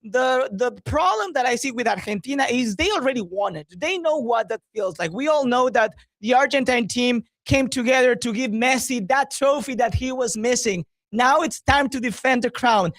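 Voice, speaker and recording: A man's voice: extremely high pitch, normal speed, few pauses, fairly bright tone, no room sound. A man talking up sports as a radio guest, emphatic and driving points home with repetition. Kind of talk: promotional sports talk.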